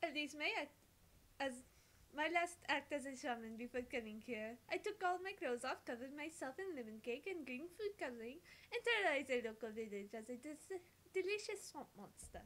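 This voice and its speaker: A woman voicing a morbidly curious former shaman, with a matter-of-fact way with dark things. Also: high-pitched, animated, moderate pace. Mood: proud, mischievous.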